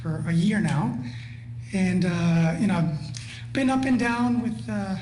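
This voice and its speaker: A man from Guatemala talking casually and haltingly as a participant on a live webinar call. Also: sits high, a little mellow. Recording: echoey room with faint hum.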